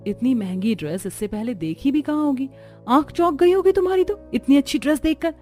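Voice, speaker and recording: A woman's voice: high-pitched, expressive, fairly quick, a little mellow, faint music behind, pitched above the performer's own voice. A woman voicing a haughty young rich daughter-in-law in a cartoon story, condescending and showing off. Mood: scornful.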